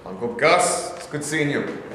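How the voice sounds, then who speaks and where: A man's voice: a little high, expressive, a little slow, a little hiss or hum. An actor playing a frustrated, hot-tempered young working man, defensive and prone to outbursts, in a staged reading. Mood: restless. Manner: defensive, bursts into shouting. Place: large bare rehearsal studio. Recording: echoey room from a distance.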